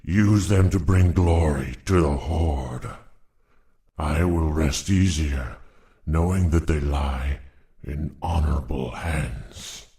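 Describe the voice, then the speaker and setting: An actor playing a dying, honorable orc shaman in a video game, speaking in a grave, ceremonious, measured way. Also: a bass voice, warm tone, full character voice. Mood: weary gratitude.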